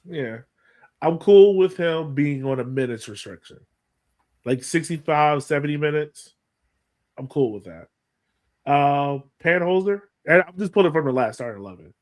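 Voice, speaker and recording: Higher-voiced man chatting about sports. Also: expressive, moderate pace. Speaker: sports podcast co-host. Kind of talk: casual soccer analysis chat.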